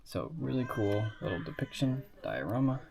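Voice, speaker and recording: A man's voice: medium pitch, sing-song, moderate pace, vocal fry, background noise. A sick, sniffly man casually showing off toy sets in a rambling way, with lots of fillers and asides. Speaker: hobbyist reviewing toy building sets. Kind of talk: casual show-and-tell.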